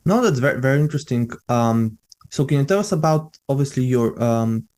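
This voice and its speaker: Male interviewer. Warm, mellow tone, conversational podcast hosting. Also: mid-range voice, expressive, fairly quick.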